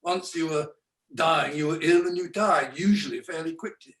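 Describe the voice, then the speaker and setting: A man on a webinar panel, speaking in a measured, thoughtful way with frequent restarts, heard over a video call. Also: fairly high pitch, fairly bright tone, recorded from a distance. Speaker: conference panelist. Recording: echoey room, away from the mic.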